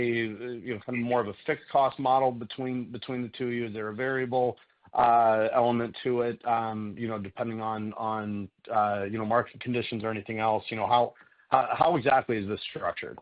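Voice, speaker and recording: A man asking questions as a financial analyst on an earnings call, in hesitant, halting phrasing. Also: medium pitch, flat delivery. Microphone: conference call line. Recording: dull lo-fi call audio.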